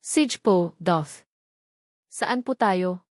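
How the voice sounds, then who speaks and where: Woman voicing a polite, calm young guard. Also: lowish voice, drawn-out words, no echo, studio quality. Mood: gentle.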